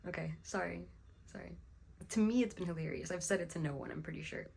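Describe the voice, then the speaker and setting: Slightly hoarse woman vlogging, gravelly low voice. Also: fast, a little mellow. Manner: rambling, musing, frequent throat clearing. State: a little hoarse. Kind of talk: casual reflective vlog talk.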